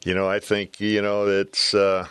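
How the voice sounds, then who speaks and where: An older American man chatting casually as a sports commentator, often halting as he searches for words. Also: on the low side, completely flat delivery, fairly bright tone, smooth, no echo. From south side of Chicago, USA. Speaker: baseball color commentator. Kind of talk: casual sports podcast chat.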